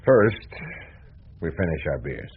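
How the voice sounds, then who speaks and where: An actor playing a blunt, self-assured middle-aged American newspaper reporter in a radio drama. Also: male voice, a bass voice, big pitch swings, slow, breathy edge, narrow, tinny audio, exaggerated voice. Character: cocky, gut-trusting veteran American male newspaper reporter. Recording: thin band-limited radio recording.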